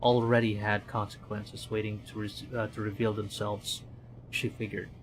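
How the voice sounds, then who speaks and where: A man's voice: fairly low pitch, little pitch movement, normal speed, noisy background. A man from the Philippines, streaming as he narrates a visual novel dramatically and adds casual commentary.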